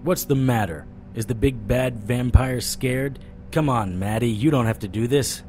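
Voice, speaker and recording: A man's voice: medium pitch, a little slow, mellow, a little hiss or hum, lightly acted. An actor playing a sardonic vampire-hunting private eye, telling his story in a dramatic noir audio drama style, over music. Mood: defiant, sardonic. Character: hard-boiled, wisecracking male vampire-hunting private eye. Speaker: narrator and lead actor. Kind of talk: first-person noir audio drama narration. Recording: music bed under the voice.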